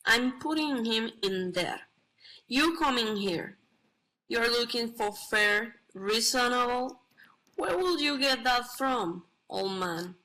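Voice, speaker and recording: A hard-nosed attendant played by a woman speaks in a radio play with a dry, knowing tone. The delivery is very unhurried and a little high, with a bright edge. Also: lively intonation, exaggerated voice, low-quality recording.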